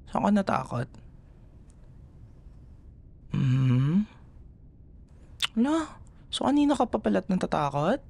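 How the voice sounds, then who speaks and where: A man with a Filipino accent playing a gentle, doting young boyfriend who soothes his partner in intimate ASMR roleplay. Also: sits high, vocal fry, exaggerated voice. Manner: soothing, affectionate, soft murmurs.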